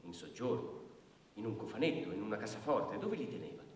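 An actor playing a pedantic, plodding police brigadier taking down a report in a theatrical, bureaucratic manner. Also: male voice, fairly low pitch, lively intonation. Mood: patient, literal. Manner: officious, pedantic, literal-minded, slow dictation voice when recording statements. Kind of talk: theatrical stage acting. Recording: very echoey hall from far off, noisy.

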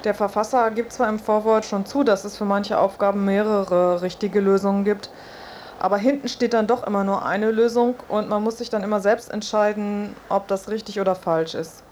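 A woman gives a personal account as a test subject on the radio. Her pitch is in the middle, and faint ambient noise sits behind her. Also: steady tone, moderate pace, darkish tone.

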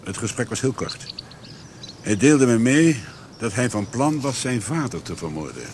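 An actor playing a courteous, methodical police commissioner in a Dutch radio play, asking questions politely and carefully. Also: male voice, mid-range voice, big pitch swings, measured pace, darkish tone, a little hiss or hum. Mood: earnest, careful.

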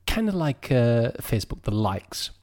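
A man's voice: medium pitch, big pitch swings, moderate pace, darkish tone. A man in his forties, co-hosting a podcast in casual chat, stammering a little on restarts. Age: 40s. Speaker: podcast co-host.

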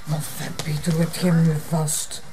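A woman's voice: low voice, flat delivery, moderate pace, warm tone, a little hiss or hum, lightly acted, poor audio. An actress playing a busy mother of small children, coaxing and chiding with a Flemish accent in naturalistic television drama dialogue.

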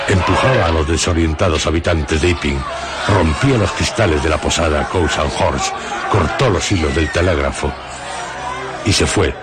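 Very deep male narrator over loud music. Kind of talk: scripted radio drama narration.

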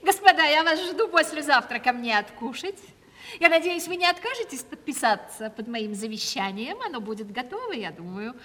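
An actress in a radio play voicing an aging provincial gentlewoman, gracious and self-justifying, with theatrical, affected, effusive delivery. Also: high voice, sing-song, a little fast, heavily acted. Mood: gracious, fussy. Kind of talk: classic Russian stage comedy radio acting. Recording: 1970s radio play, reverberant hall.

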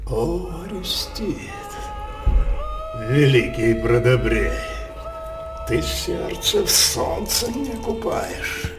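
A man's voice: medium pitch, very animated, heavily acted. A grandiose, wildly swinging tyrant king. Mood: brooding defiance. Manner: declamatory, with drawn-out words and sudden outbursts. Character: tyrannical incestuous king, grandiose, defiant, feverishly passionate. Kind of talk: Russian theatrical radio drama acting. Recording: roomy, distant voice over loud music.

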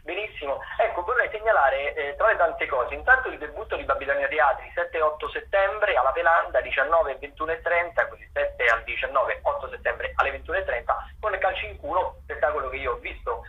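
A man's voice: on the high side, a little fast, few pauses. A man speaking as a theatre correspondent, running through event listings in a hesitant, semi-improvised way, repeating himself as he searches for words. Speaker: theatre correspondent on a radio show. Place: calling in to a radio programme by phone. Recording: narrow, tinny phone line.

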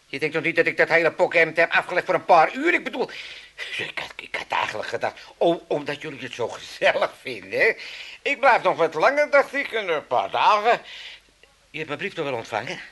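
Elderly father, Amsterdam accent, cheeky and self-pitying, swooping intonation, sharp bright tone, folksy rambling, radio comedy. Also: on the high side, very animated, fairly quick, exaggerated voice. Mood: blustering. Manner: rambling, stammering, folksy and blustering. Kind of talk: 1980s Dutch comedy radio play acting.

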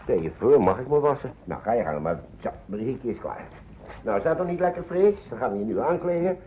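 An actor playing a worried, kindly uncle who hesitates and repeats himself in an old radio play. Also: male voice, mid-range voice, big pitch swings, a little fast, natural voice. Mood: cheerful, fatherly. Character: worried kindly man, an uncle minding children. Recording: dull noisy old radio recording.

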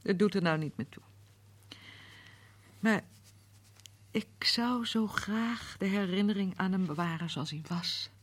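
A woman's voice: medium pitch, a little slow, pauses often, natural voice. An actress playing a grieving, hurt woman who speaks hesitantly in a radio drama. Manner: hesitant, pained. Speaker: Betty, widow of Arthur.

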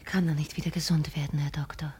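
An actress playing a proud but worried grown daughter in a German radio play, earnest and questioning. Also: female voice, low-pitched, flat delivery, quick pace, a little mellow, a little hiss or hum, natural voice. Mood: curious, subdued.

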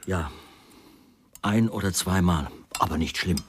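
A low-pitched male writer in a radio crime drama, evasive and guarded. He answers with hesitant, casual dismissiveness. The recording carries some noise. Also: unhurried. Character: evasive male writer questioned about his missing wife.

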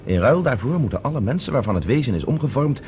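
An actor playing a calm, reasoning space expedition leader with a measured, decisive way of explaining, in a science-fiction radio drama. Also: male voice, mid-range voice. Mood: firm. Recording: noisy old radio drama recording.

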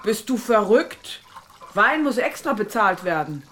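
A stingy middle-aged innkeeper in a radio drama. She is sharp-tongued and mocking, her pitch leaping about. Faint noise sits behind her. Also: very animated, measured pace. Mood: scolding, indignant. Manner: scolding, mocking, emphatic. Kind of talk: German radio play acting.

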